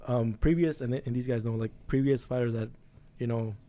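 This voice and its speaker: A man with a dark, mellow tone hosts a casual interview, his voice worn as he comes down with something. He speaks haltingly, restarting his phrases, and fills the pauses with soft hums of agreement. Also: medium pitch, fairly even intonation. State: losing his voice, getting sick. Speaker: podcast host.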